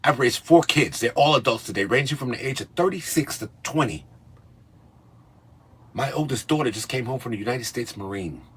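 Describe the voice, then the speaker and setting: A man's voice: mid-range voice, lively intonation, normal speed, slightly rough, low-quality recording. A man in his late fifties, Cuban-born with a Spanish accent, lecturing in a confrontational video rant and tagging lines with "okay?". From Cuba. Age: 50s. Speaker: commentator in a clip.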